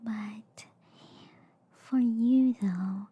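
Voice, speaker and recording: A woman voicing a friendly, slightly shady alien girl doctor in a whispered ASMR roleplay, soothing and hesitant with soft repeated reassurances. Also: fairly high pitch, very slow, husky, phone-quality sound, full character voice. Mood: gently explaining. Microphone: close ASMR microphone. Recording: faint background noise, close-up ASMR.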